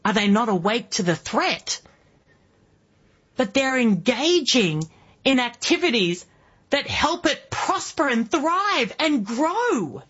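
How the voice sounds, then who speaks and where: An Australian woman in her early forties with a fairly high, husky voice. She delivers an emphatic political radio monologue, leaning on rhetorical questions. The sound is slightly dull. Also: sing-song, slow pace, a bit bright. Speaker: guest radio host.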